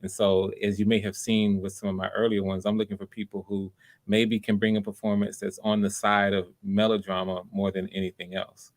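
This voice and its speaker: A man's voice: fairly low pitch, monotone, brisk. A man hosting online auditions in a casual, conversational way, with an enthusiastic and encouraging manner. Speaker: host of online voice auditions, casting for a project.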